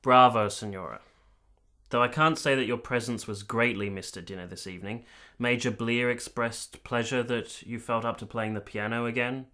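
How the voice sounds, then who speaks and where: A man's voice: mid-range voice, moderate pace, sharp tone, faint music behind, lightly acted. An actor playing a sober, disillusioned military man of the 1870s in a radio drama, reflective and formal in his wording. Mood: dry, courteous. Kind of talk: period radio drama acting and narration.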